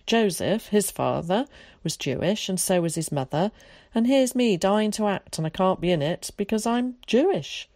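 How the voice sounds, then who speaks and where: A woman's voice: medium pitch, animated, moderate pace, darkish tone, no echo, studio quality, voice pushed up, natural voice. A woman voicing an eager, theatrical, talkative young boy in a storybook, with dramatic, chummy old-fashioned phrasing. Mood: earnest, aggrieved.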